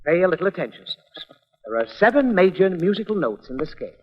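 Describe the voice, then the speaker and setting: A man's voice: high voice, big pitch swings, fast talker, slightly rough, heavily acted. An actor playing an exasperated, long-suffering father in an old-time radio comedy, pleading theatrically. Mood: strained patience. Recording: muffled vintage radio recording.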